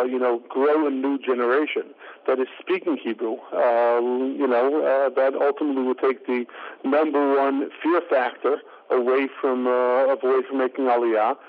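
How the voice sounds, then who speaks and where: A man giving an interview, speaking haltingly with many fillers. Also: mid-range voice, fairly even intonation. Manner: halting, full of fillers like "uh, you know". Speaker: interview guest. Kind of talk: interview over the phone. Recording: tinny phone line.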